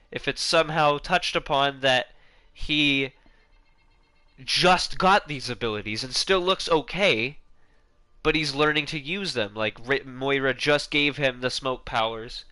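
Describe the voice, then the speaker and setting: A man talks loosely about a video game, circling back and restarting his thoughts, with casual swearing along the way. His voice is bright and clean. It sits close and dry with no room sound. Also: medium pitch, no echo. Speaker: gaming YouTuber.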